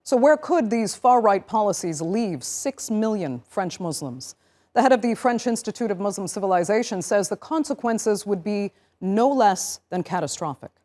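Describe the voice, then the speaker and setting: A woman hosting a news program, reading a script in a clear, measured way with emphatic openers. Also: mid-range voice, expressive, darkish tone. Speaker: news show host.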